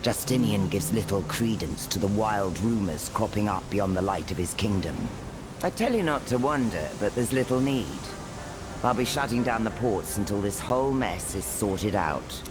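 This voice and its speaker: A man's voice: fairly low pitch, lively intonation, normal speed, background noise, lightly acted. A man playing a stern, weary authority figure in a video game, formal and commanding. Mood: grave, weary.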